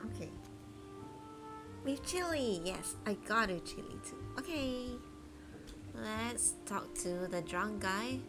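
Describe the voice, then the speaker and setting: A woman's voice: on the high side, expressive, slow, long pauses, over music. A woman live streamer giving casual gameplay commentary, thinking aloud in a chatty way.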